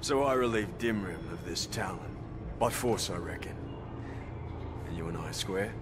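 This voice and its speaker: An actor playing a terse, gruff male road warrior in a game, speaking in clipped, low, flat lines. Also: measured pace, noisy background.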